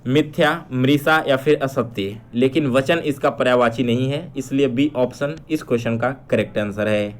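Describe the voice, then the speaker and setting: A man speaks as an exam-prep teacher in a middle-pitched voice. He addresses his students directly, reading out questions, repeating them and explaining the answers in an instructive way. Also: moderate pace, hardly any pauses. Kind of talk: explanatory quiz lesson.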